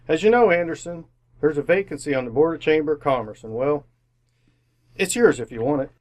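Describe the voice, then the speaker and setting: A man's voice: on the high side, expressive, a little fast, darkish tone, lightly acted. An amateur actor playing a genial, pompous older businessman in an old-time radio sitcom, avuncular and given to hemming. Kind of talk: re-created 1950s radio sitcom acting.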